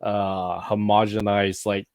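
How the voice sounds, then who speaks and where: Low-voiced man hosting an emphatic chat. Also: level tone, slow, a little mellow, clean tone, no echo. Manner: emphatic, opinionated, frequent backchannel murmurs. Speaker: podcast host. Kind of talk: casual podcast conversation.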